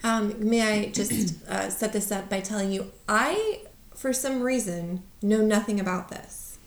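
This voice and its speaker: A woman's voice: mid-range voice, measured pace. Sarcastic woman in an echoey room. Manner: dry, sarcastic jokes. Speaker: podcast co-host. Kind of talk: casual chat.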